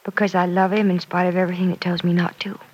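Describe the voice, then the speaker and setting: An American actress playing an earnest, love-struck, self-doubting young daughter in an old-time radio soap opera, speaking in a halting, confiding way. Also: female voice, on the low side, flat delivery, muffled recording, close to an everyday voice. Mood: hesitant, thoughtful. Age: young adult. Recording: vintage radio transcription.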